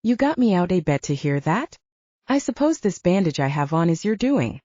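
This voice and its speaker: A woman narrator voicing a gruff, complaining man with slangy speech in a fantasy audiobook. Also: fairly low pitch, sing-song, moderate pace, mellow, no room sound, lo-fi sound. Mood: grumbling. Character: Clark, gruff, grumbling, slangy wounded male character.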